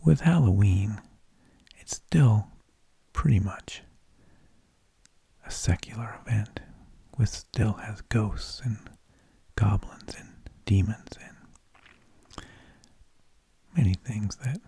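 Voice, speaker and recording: Male ASMR host: whispered, husky and gravelly, unhurried halting monologue, very dry close sound. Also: fairly low pitch, warm tone, no room sound. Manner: slow, halting delivery with long pauses. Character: mild, tedious energy vampire who lulls people to sleep.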